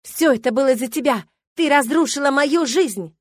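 Female reader as furious younger romantic rival, high shrill voice, shouted accusations, spiteful, dramatic audiobook dialogue. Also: moderate pace, no room sound, lightly acted.

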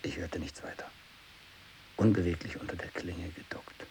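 An actor playing a tormented, fanatical renegade missionary who worships evil, in a feverish radio drama monologue from the 1960s. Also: male voice, fairly even intonation, moderate pace, low-quality recording. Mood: hushed, bitter fervour. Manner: feverish, swinging from hushed whispering to shrill ecstatic cries. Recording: hissy 1960s radio recording.

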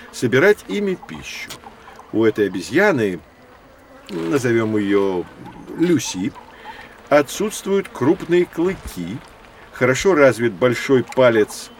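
A man playing a patient, learned guide who teaches children in scripted educational audio narration, didactic and measured. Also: mid-range voice, a little hiss or hum, natural voice.

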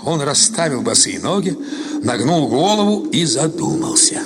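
A man's voice: a little high, very animated, moderate pace. A man reading scripted literary narration as the narrator of a radio drama. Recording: loud background noise and ambience.

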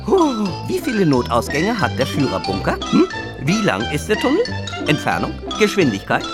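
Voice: medium pitch, huge pitch swings, moderate pace. An actor playing a fussy, obsessive conspiracy theorist in a satirical radio play, muttering and erratic in a theatrical way. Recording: loud music behind the voice. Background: music.

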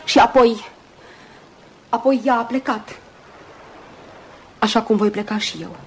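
An actress playing an earnest, lovelorn young woman in a Romanian radio play, speaking with halting intensity. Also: on the high side, a little mellow, slight background noise, natural voice. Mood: pleading, emotional. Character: Susan, earnest lovelorn young woman, self-effacing but insistent. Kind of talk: Romanian radio theatre acting.

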